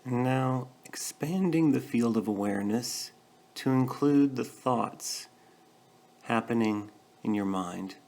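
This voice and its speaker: A man leading a guided meditation, speaking gently and in a measured way with pauses between short phrases. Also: mid-range voice, slight background noise. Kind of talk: guided meditation, calm instruction.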